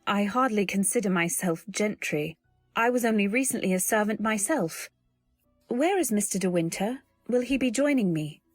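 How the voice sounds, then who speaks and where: A woman's voice: medium pitch, animated, moderate pace, dry recording, soft background music, natural voice. Radio drama heroine, played by a woman: timid young wife, earnest and well-mannered, intimate dry recording. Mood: polite. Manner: hesitant, gentle, polite. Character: timid, earnest young new wife narrating her tale. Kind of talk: old-time radio drama, first-person narration and dialogue.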